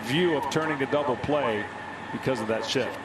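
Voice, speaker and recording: A man's voice: mid-range voice, normal speed. Male baseball color analyst, mid-range voice, conversational breakdowns, roomy live sound with heavy background noise. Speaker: color commentator. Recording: roomy, loud ballpark ambience behind.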